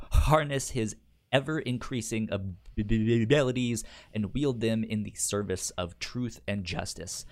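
A man with a pronounced stutter, chatting casually as a podcast co-host and reading synopses aloud. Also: medium pitch. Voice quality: pronounced stutter with repeated sounds and syllables.

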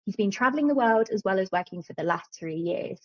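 A woman presents and interviews as a podcast host. Her voice is medium in pitch with a husky, unclear grain. The recording is a little dull and lo-fi. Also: expressive, fast talker. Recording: dull, slightly lo-fi, slightly husky sound.

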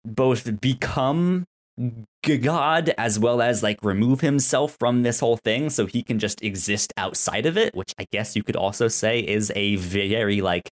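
A man co-hosting a casual podcast discussion, explaining things haltingly and stuttering on words with repeated syllables. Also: medium pitch. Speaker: podcast host.

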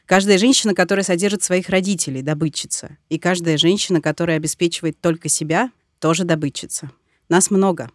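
A woman's voice: fairly low pitch, fairly even intonation, brisk, no echo. A woman in her thirties hosting a podcast, with scripted narration and interview questions, recorded in a studio. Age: 30s.

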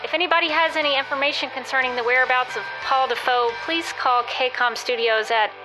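A woman's voice: high-pitched, steady tone, brisk, close to an everyday voice, rough recording. A woman playing a frantic, pleading television announcer in a comedy audio drama. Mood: urgent. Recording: music behind the voice.

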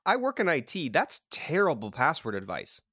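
A woman podcast host chatting casually and comedically, riffing and exclaiming in an animated way. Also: sits low, normal speed, breathy edge, dry recording, studio quality.

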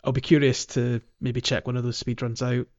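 A man talks casually as a gaming podcast host. His voice sits at a middling pitch. The recording is a little dull and lo-fi, taking the edge off his words. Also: flat delivery, fairly quick, a little mellow.

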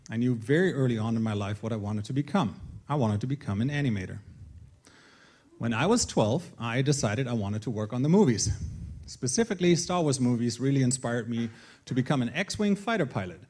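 A man's voice: mid-range voice, lively intonation, brisk, a little mellow. A middle-aged man from northern Germany with a German accent, giving a personal talk to an audience in an earnest, lightly self-deprecating way. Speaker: conference speaker, games creative director.

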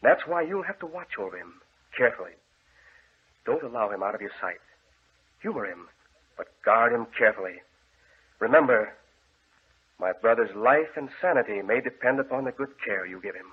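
An actor playing a grave, controlling gentleman in a 1940s radio horror drama, speaking with formal, solemn command and a husky voice. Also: medium pitch, animated, normal speed, pauses often. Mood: firm, commanding. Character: grave, controlling gentleman guarding his deranged brother. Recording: tinny, band-limited old radio recording.

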